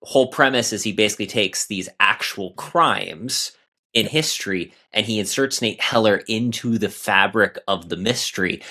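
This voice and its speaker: A man's voice: fairly low pitch, pushed and bright. A man in a casual podcast discussion, speaking in an enthusiastic, emphatic way. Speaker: podcast panelist.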